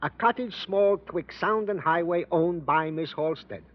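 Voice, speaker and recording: A man's voice: fairly high pitch, measured pace, close to an everyday voice. An actor playing a wordy, fatherly police sergeant in a radio drama, talking in a florid, mock-formal way. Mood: ornate, self-pleased. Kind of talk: 1950s radio character acting. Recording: muffled, noisy old radio recording.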